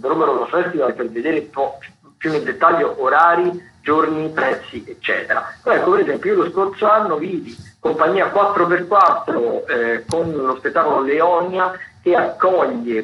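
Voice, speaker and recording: A man hosting a radio show, recommending events off the cuff in a halting, rambling way and often correcting himself. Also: medium pitch, lo-fi sound, slight background noise. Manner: halting, rambling, self-correcting mid-sentence. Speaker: radio host. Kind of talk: unscripted radio recommendations of theatre and dance events.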